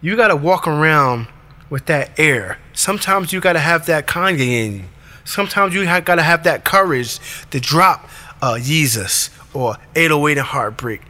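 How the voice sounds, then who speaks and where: A man with a raspy voice hosting his own show, delivering an emphatic motivational monologue in short punchy commands. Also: fairly high pitch, expressive, moderate pace, pushed and bright. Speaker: podcast host.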